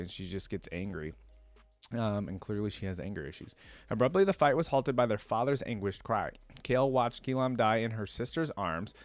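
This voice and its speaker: A man with a mid-pitched voice hosts a reaction video. He moves between reading lore aloud and informal commentary, thinking out loud as he goes. The sound is dry, with very little room in it. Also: no room sound.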